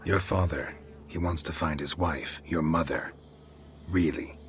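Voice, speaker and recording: Game role: laconic mercenary monster slayer, rock-bottom pitch, gruff, dry. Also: male voice, measured pace, a little hiss or hum. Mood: dry, guarded. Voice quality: low, gruff. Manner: terse, deadpan. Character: stoic, laconic male monster hunter for hire. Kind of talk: video game cutscene acting.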